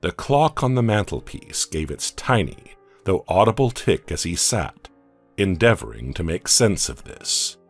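A man narrating a dark audio comic story in a scripted, dramatic way, often dropping to a hush at the ends of lines. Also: low-pitched, very animated, breathy edge, soft background music. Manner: slow, dramatic storytelling with hushed drops.

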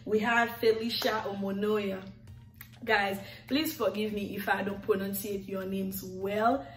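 A middle-aged woman with a Haitian accent speaks slowly and fondly, drawing out repeated words in a sing-song lilt. She sits in an echoey living room facing the camera. Soft music plays faintly beneath her voice. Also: mid-range voice, flat delivery. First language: Haitian Creole. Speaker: YouTuber. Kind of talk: thanking subscribers on camera. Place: living room with red leather sofa, indoors. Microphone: camera in front, seated.

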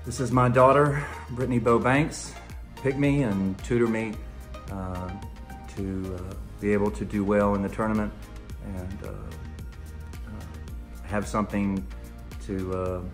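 Man, 40s, low voice, hesitant, fillers, faint music underneath. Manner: halting, with many fillers. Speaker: contest entrant. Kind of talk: self-recorded video appeal.

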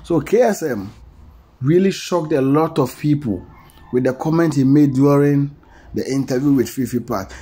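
Male commentator, higher-pitched husky voice, emphatic and repetitive, informal entertainment news delivery. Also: dark tone. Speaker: commentator introducing an interview clip.

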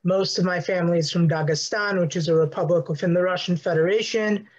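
A young man in his twenties speaking as a panelist in an online discussion, measured and earnest, pausing with fillers. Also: high-pitched, fairly even intonation. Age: 20s. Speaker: student panelist. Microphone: video call. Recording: slightly muffled call audio.

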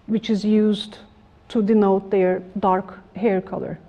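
A middle-aged woman giving a formal academic lecture in a room with a projector, measured and halting with frequent restarts. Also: medium pitch, flat delivery, mellow. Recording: slightly dull lo-fi room recording.

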